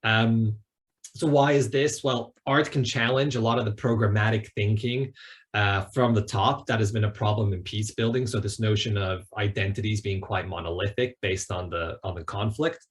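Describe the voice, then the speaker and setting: A man's voice: on the low side, steady tone, moderate pace. A man giving a semi-prepared, discursive academic talk as a panelist at an online event. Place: online video call.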